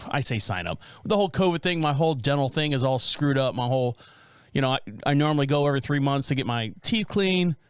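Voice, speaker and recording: A man's voice: medium pitch, expressive, fast, clear, resonant tone, dry recording. A man in his forties co-hosting a casual chat, telling stories animatedly with frequent laughs. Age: 40s. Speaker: podcast co-host.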